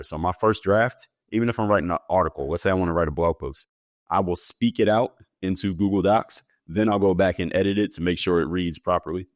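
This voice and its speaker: A man with a very deep voice answers interview questions as a guest coach. He explains things in a steady, matter-of-fact way. The sound is dry and close. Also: dry recording.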